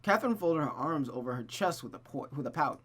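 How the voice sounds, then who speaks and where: A streamer with a mid-pitched voice reads a visual novel aloud, narrating expressively and adding casual asides. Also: expressive, brisk, a bit bright.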